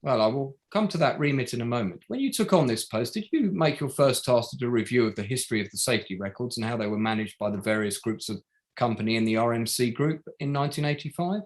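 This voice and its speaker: A man's voice: mid-range voice, expressive, quick pace, natural voice. Man playing a sardonic barrister, swooping delivery. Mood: pointed, probing. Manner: pointed, formal, rhetorical tag questions. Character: probing, sardonic male barrister at a public inquiry. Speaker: actor in an online table read. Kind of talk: courtroom-style cross-examination in a play reading.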